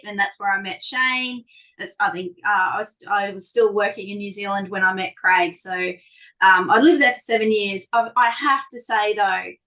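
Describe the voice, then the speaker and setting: A woman with a strong Australian accent speaking on a live online panel, telling animated, self-deprecating stories. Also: medium pitch, low-quality recording.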